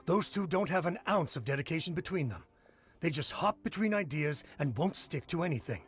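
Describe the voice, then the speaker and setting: A man's voice: fairly high pitch, lively intonation, a little fast, close to an everyday voice. A man playing a plain-spoken, disparaging villager in a video game, matter-of-fact in his delivery. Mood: disapproving.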